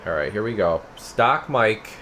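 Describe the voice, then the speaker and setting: A man's voice: lowish voice, animated, unhurried, sharp tone, a little hiss or hum. A man giving a casual hands-on product demo in an informal, rambling way, talking to viewers as guys. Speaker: radio repairer and product reviewer.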